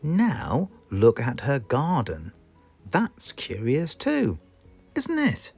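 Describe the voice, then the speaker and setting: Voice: mid-range voice, drawn-out words, vocal fry, husky. A British narrator reading a children's story expressively, drawing the listener in. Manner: expressive, asks the listener questions. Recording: music playing behind the voice.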